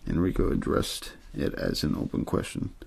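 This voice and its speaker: A man reading a story aloud as an amateur ASMR narrator, in a low-key, untheatrical way with the odd stumble. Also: normal speed. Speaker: amateur audiobook reader.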